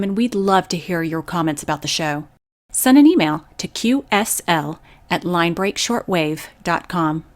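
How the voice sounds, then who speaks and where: A woman hosting a radio program, reading scripted introductions and announcements. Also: fairly low pitch.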